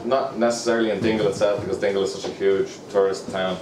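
A man's voice: lowish voice, level tone, fast talker, background noise. A man in his thirties with a Dublin Irish accent, a fiddle player chatting in a rambling way between tunes in a pub. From Ireland. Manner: chatty, rambling, repeats words. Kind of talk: casual storytelling between tunes. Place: busy pub, indoors. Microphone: far camera. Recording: echoey pub from far off.

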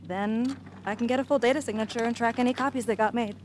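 A woman's voice: on the high side, quick pace, slight background noise, lightly acted. An actress playing a young female hacker in a video game, slangy, sardonic and matter-of-fact. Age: young adult. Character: dry, casual young female tech hacker. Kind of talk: video game cutscene acting.

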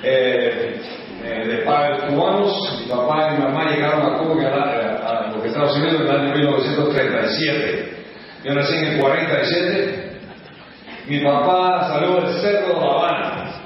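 A man's voice: on the high side, moderate pace, a little hiss or hum. A man in his sixties from the United States giving a chatty, joking business talk to a large audience. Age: 60s. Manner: chatty, joking, switches between Spanish and English. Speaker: business speaker. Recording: distant, echoey hall recording, dull sound.

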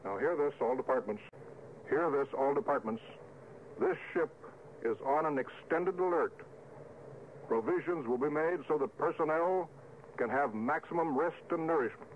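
An actor playing a commanding starship captain, deliberate and stern, in 1950s radio drama style. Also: male voice, a little high, lightly acted. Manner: authoritative, deliberate. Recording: phone-like vintage radio recording, faint hiss.

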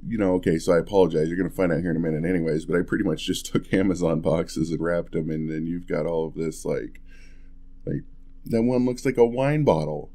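A man playing an affectionate, playful young husband in an ASMR roleplay, talking softly and tenderly in a casual, hesitant way. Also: deep voice, brisk. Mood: playful, admiring. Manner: soft, hesitant, chuckling, tender. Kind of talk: ASMR boyfriend roleplay, intimate casual chat.

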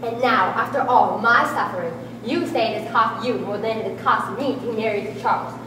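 A woman's voice: on the high side, moderate pace, crowd noise, lightly acted. A young woman in an amateur stage play, playing a wife's raw, passionate, bitter inner self with emphatic, agitated delivery. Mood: furious. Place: large school hall with small audience. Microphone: far camera. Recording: echoey hall, distant camera, loud room noise.

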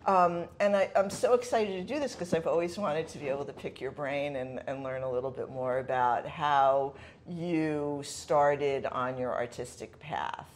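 A woman's voice: low-pitched, moderate pace, slight background noise. A middle-aged woman hosting an interview show, chatting eagerly with her guest in his living room and jumping in often. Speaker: show host. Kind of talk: friendly interview chat.

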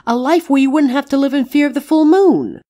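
A woman's voice: high voice, a little fast, warm tone, natural voice. A woman playing a caring but exasperated witch in an audio roleplay, talking frankly and coaxingly to a friend. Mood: urging, exasperated. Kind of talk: dramatic audio roleplay acting.

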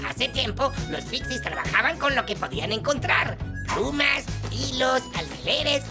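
A woman's voice: sits high, sing-song, measured pace, music in the background. A woman reading scripted explainer narration for children.

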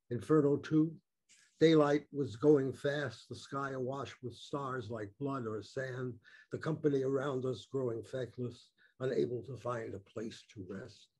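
Slow mellow older poet. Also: male voice, medium pitch. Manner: halting with fillers, then deliberate recitation. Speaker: poet reading his work. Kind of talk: poetry reading. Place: home room. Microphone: video call.

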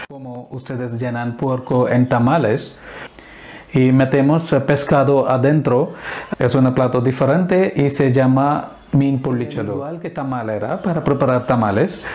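A mellow-voiced man in his thirties presents to camera on a lapel mic. He has an Indian accent from his native Malayalam and speaks in halting, deliberate phrases. His pitch sits in a middle range for a man. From Kerala, India. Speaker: video host. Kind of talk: presenting to camera in a second language. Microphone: clip-on lapel mic.

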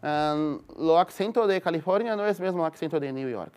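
A man in his forties with a Brazilian Portuguese accent, answering interview questions about technology haltingly, restarting phrases. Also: a little high, expressive, a little mellow. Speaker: tech expert. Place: room with brick wall.

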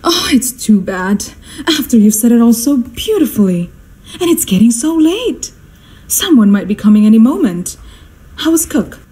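An actress playing a fussy, imperious, status-hungry society mother in a radio drama, theatrical and exclamatory, with a husky voice. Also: fairly high pitch, sing-song, moderate pace, mellow. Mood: fretful.

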